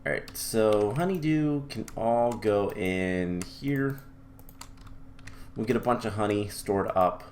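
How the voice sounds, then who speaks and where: A man giving relaxed, chatty commentary over a modded game, his voice a little off because he is coming down with a cold. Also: lowish voice, slow, slight background noise. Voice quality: a little off from illness. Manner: relaxed and chatty with many ums. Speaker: gameplay commentator. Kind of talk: casual let's-play commentary.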